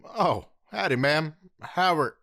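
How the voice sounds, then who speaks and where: A polite, good-humored young armored hero, played by a man, slow and drawling in delivery. His voice is bright and swings in pitch. The sound is dry. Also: expressive, vocal fry, no room sound, heavily acted. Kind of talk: audio drama acting.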